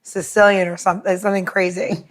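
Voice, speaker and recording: A woman's voice: medium pitch, level tone, fast talker. A woman, a podcast co-host chiming in with casual banter.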